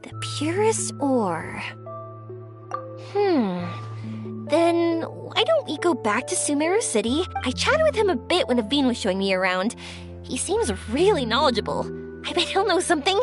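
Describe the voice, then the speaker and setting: A woman's voice: sits high, sing-song, normal speed, over music. A woman voicing a cheerful, earnest young fireworks maker in a video game, speaking expressively and sincerely. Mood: thoughtful, upbeat. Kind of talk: video game voice acting, animated character dialogue.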